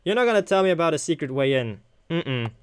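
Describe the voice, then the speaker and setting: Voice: mid-range voice, big pitch swings, quick pace, clean tone, dry recording, studio quality. A gaming video host doing casual let's play commentary, thinking aloud and swearing now and then.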